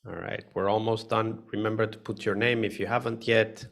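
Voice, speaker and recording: A man's voice: fairly low pitch, level tone, brisk, vocal fry, fairly bright tone. A man hosting a live online session, speaking casually with many fillers and restarts over a video call mic. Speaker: host.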